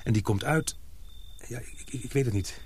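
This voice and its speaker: A man acting in a radio play as a grave, suspicious expedition member, speaking earnestly and deliberately. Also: medium pitch, slightly rough, dry recording, close to an everyday voice. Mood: ominous, resolute. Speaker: radio drama actor.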